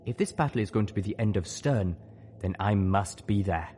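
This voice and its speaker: A solemn zebra mare, acted by a man in a storybook. She speaks gently and with measured resolve, a mother set on her course. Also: on the low side, lively intonation, a little hiss or hum, close to an everyday voice. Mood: solemn, resolute.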